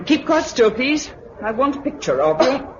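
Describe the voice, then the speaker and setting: A woman's voice: sits high, big pitch swings, normal speed, a little hiss or hum. A woman with a British accent playing a breezy, inquisitive reporter in 1950s radio drama style. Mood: breezy, curious. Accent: British English. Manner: breezy, chatty. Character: chirpy, inquisitive former newspaper reporter, female. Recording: dull 1950s radio recording.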